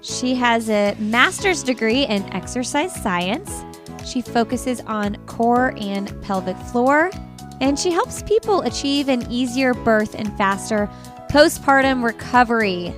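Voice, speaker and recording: A woman's voice: a little high, lively intonation, slow pace, over music. A woman hosting a parenting podcast, upbeat and enthusiastic in casual conversation. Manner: bubbly, enthusiastic. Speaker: podcast host.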